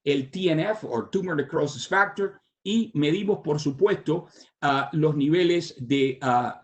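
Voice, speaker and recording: An older man with a Cuban Spanish accent carried by English habits delivers a medical lecture in a voice pitched a little high. He stops often on "ah" fillers and restarts his phrases. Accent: Cuban Spanish with American English influence. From Cuba, raised in the United States. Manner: halting, with many "ah" fillers and restarts. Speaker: surgeon giving a conference talk.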